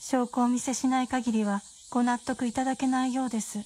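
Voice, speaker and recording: A woman's voice: a little high, steady tone, brisk, darkish tone, a little hiss or hum, lightly acted. A woman playing a genteel, self-possessed doctor's wife with a teasing streak, speaking refined, formal lines in a period radio drama. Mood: cool, resolute.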